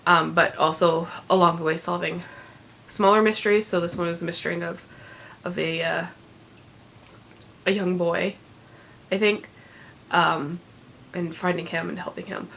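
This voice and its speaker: A woman's voice: lowish voice, fairly even intonation, a little slow, vocal fry, long pauses, a bit bright, slight background noise, low-quality recording. A woman chatting casually about books she has read, congested and recovering from illness, rambling with many ums and little laughs. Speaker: book vlogger. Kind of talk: casual unedited book chat.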